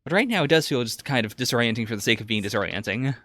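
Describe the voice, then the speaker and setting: Man with a bright, lively voice. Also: mid-range voice, rapid-fire. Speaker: podcast co-host. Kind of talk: casual reaction commentary on anime.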